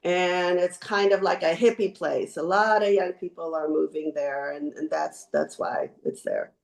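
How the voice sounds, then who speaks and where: An older woman from Israel talking casually as an interview guest, halting and correcting herself as she goes. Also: on the low side, gravelly. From born in Israel. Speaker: podcast guest, retired teacher. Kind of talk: casual conversational reminiscing.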